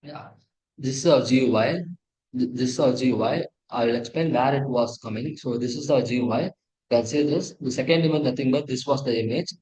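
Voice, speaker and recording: A man with an Indian accent presenting a technical project walkthrough in a halting, repetitive way, often correcting himself. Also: medium pitch, a little mellow, rough recording. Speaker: presenter of an engineering project demo. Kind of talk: tutorial walkthrough, partly read from slides.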